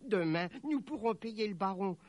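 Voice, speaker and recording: A man voicing an anxious, excitable peasant mother with a wailing, exclamatory delivery in a storybook. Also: moderate pace, dry recording. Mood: overjoyed. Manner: wailing, exclamatory, high-voiced.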